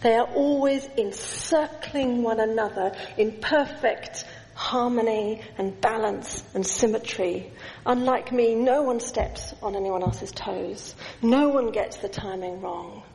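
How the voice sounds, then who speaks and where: A middle-aged woman delivers a sermon at a slow, deliberate pace. Her fairly high voice builds slow, emphatic lists and rings out through a large church with a long echo. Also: creaky, a little mellow. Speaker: preacher. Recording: echoey church hall.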